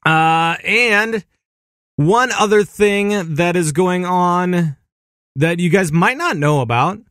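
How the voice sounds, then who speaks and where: Easygoing man with a slightly high voice. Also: slow, fairly bright tone, dry recording, studio quality. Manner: easygoing, laughs often, hesitant fillers. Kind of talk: casual podcast chat.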